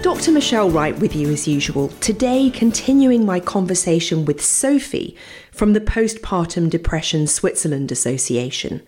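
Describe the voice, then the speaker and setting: A woman who is a doctor, hosting a health show and introducing her guest with clear, scripted delivery. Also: lowish voice, a little mellow. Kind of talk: radio health interview hosting.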